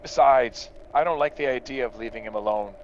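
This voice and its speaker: An actor playing a confident farmer, a dutiful son and brother, in a radio drama. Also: male voice, medium pitch, brisk, lo-fi sound, a little hiss or hum, lightly acted. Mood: reassuring. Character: confident farmer son, reassuring, dismissive of worries.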